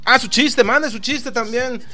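A Mexican man hosting a live online radio show, rambling through shout-outs and jokes in a chatty, loose way. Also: extremely high pitch, unhurried. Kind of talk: casual live radio chat with greetings. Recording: faint music in the background.

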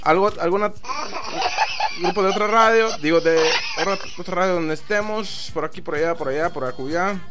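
A Mexican man hosting a live online radio show, rambling through shout-outs and jokes in a chatty, loose way. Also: high voice, a little fast. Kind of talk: casual live radio chat with greetings. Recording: faint music in the background.

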